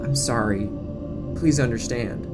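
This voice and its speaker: A woman's voice: extremely low pitch, sing-song, a little slow, heavily acted. A man voicing an upset, grieving teenage girl in an audio drama, hurt and pleading, with music behind. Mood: apologetic. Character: upset, defensive teenage high school girl, grieving her mother.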